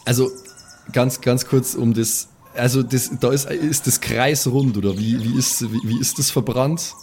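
A man's voice: mid-range voice, steady tone, unhurried, a little mellow, soft background music. A man chatting casually as a player in an improvised role-play game, asking and commenting off the cuff. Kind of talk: casual improvised table talk.

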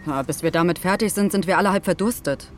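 A woman's voice: fairly low pitch, quick pace, faint music behind, close to an everyday voice. An actress playing a determined female astronaut who talks urgently and decisively.

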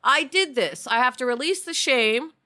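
A woman talking casually and reflectively as a podcast co-host, with an animated, opinionated and emphatic manner. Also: high-pitched, normal speed.

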